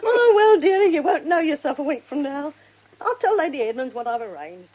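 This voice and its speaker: A woman's voice: high-pitched, big pitch swings, a little fast, darkish tone, low-quality recording. An actress playing a kindly, bustling working-class English charwoman in a radio play, forthright and full of colloquial endearments. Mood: cheery. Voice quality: colloquial, ungrammatical speech peppered with endearments. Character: Mrs Bangs, chatty, kindly, lonely working-class charwoman.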